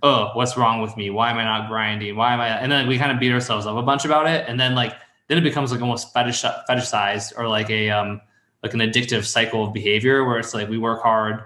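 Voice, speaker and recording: Man, thirties, bright forceful voice, casual mentor, rambling advice, roomy echo. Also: medium pitch, steady tone, fast talker. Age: 30s. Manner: rambling, thinks aloud. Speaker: call-in show host and copywriting mentor.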